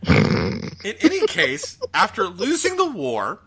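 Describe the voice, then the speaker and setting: A man's voice: sits high, slightly rough. A man hosting a podcast, chatting casually and comically, incredulous and often restarting his sentences. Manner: animated, incredulous, halting restarts. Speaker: podcast host. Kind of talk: casual comedic chat about a bizarre news story.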